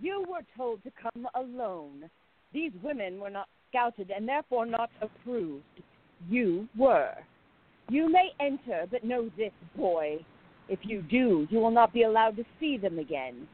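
A commanding, officious cult gatekeeper acted out for a radio play, with sweeping, lively intonation. The speech is formal and curt, heard through a thin, phone-like band. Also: medium pitch, unhurried. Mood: stern. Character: stern, officious gatekeeper of a secret death club.

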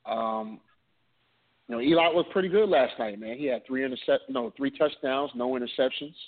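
A laid-back man in his late thirties co-hosts a casual talk show, telling stories with easygoing humor and a mid-range voice. The sound is thin and tinny, like a phone line. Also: mid-range voice. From New Jersey. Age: 30s. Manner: laid-back, joking, drawn-out storytelling. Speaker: podcast co-host. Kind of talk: casual chat.

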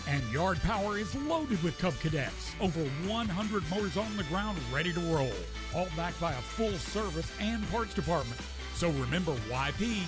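Lively high male ad announcer. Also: normal speed, over music. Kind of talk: local radio ad read.

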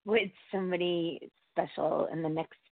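A woman's voice: fairly low pitch, steady tone, normal speed, creaky, dry recording. Effusive woman caller in her forties on the phone. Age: 40s. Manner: warm, effusive, rambling, says she has a young voice. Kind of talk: call-in conversation. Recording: tinny phone line, dry.

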